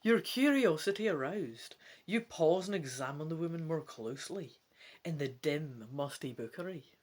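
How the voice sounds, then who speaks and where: A woman's voice: low-pitched, normal speed. A woman narrating a gamebook as a dramatic, theatrical storyteller. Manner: expressive, theatrical.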